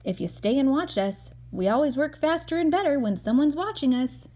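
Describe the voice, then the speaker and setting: A woman's voice: high voice, animated, moderate pace, mellow, slight background noise, pitched above the performer's own voice. A woman voicing a confident, boastful steam-shovel operator from a children's storybook, with eager emphasis. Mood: proud, boastful.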